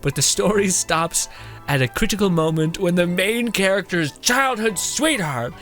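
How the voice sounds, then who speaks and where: A man's voice: sits high, expressive, normal speed, fairly bright tone. A young man doing casual, jokey game commentary and reading text aloud, with game music behind. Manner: giggly, informal, jokey. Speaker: game streamer.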